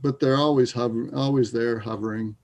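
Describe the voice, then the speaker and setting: A man playing a wry, troubled, grieving Canadian sports fan in a readers theater piece, with a gravelly voice. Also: mid-range voice, steady tone, a little fast, a little mellow, close to an everyday voice. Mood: wistful, troubled.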